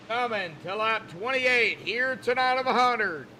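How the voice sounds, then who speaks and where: High-pitched Southern US male race commentator. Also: lively intonation, unhurried, sharp tone, a little hiss or hum, low-quality recording. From Arkansas, southern United States. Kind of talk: live sim racing commentary, folksy and conversational.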